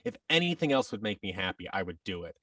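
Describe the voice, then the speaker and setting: A man's voice: on the low side, sing-song, fast talker, a bit bright, no room sound, distant mic. A man telling personal stories as a podcast guest, candid and reflective, often interrupting himself.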